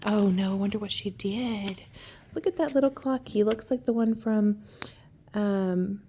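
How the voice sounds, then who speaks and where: A woman reading a picture book aloud to young children, with gentle, admiring, chatty asides. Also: mid-range voice, measured pace, a little hiss or hum.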